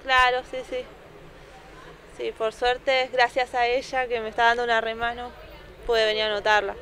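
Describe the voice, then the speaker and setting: A young woman in her twenties with an Argentine accent, speaking shyly and softly with many fillers in a street news interview. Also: on the high side, little pitch movement, moderate pace. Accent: Argentine Spanish. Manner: shy, soft, with many fillers. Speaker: new mother interviewed. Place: city sidewalk outdoors. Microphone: handheld reporter microphone. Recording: faint street noise behind.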